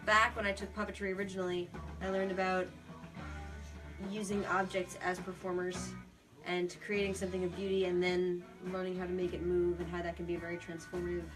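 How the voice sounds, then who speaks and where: A woman's voice: on the low side, monotone, fairly quick, rough recording. A young adult woman speaking as an interview guest, explaining her art in an earnest, reflective, casual way. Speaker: guest, puppeteer and theater performer. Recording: music playing behind the talk. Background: guitar music.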